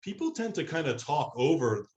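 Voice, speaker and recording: A man explaining things thoughtfully and haltingly as a guest in a casual interview. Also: a little high, very animated, darkish tone, lo-fi sound. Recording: roomy echo on the voice.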